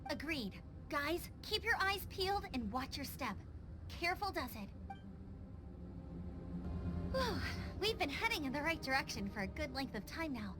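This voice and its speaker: A woman voicing a caring, energetic young female adventurer in an anime-style game, girlish and earnest. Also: high-pitched, normal speed, pauses often. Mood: upbeat, encouraging. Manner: earnest, encouraging.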